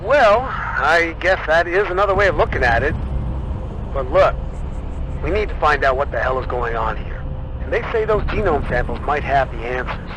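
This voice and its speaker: A man acting as an excitable, chatty ally in a post-apocalyptic video game, talking in an informal, emphatic way. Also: fairly high pitch, normal speed, natural voice. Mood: persuading. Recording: clearly noisy background.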